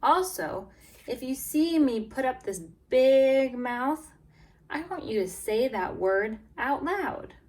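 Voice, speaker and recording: A woman teacher doing a read-aloud lesson for young English learners, expressive and encouraging, stressing key words and prompting listeners with questions. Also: high-pitched, takes it slowly. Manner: expressive, exaggerated storytelling, emphasizing key words. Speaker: teacher reading a picture book to English language learners.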